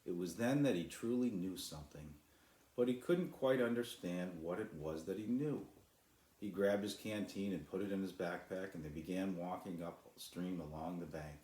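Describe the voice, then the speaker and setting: A man's voice: deep voice, moderate pace, warm tone, roomy sound, low-quality recording. A man who is an author, reading and introducing his own children's story as a storyteller. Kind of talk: storybook narration.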